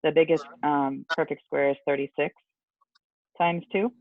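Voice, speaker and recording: A man's voice: fairly high pitch, fairly even intonation, unhurried, no echo. A man teaching a casual online math lesson, chatty with rambling asides, his voice scratchy from allergies. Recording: dry, tinny phone-like sound.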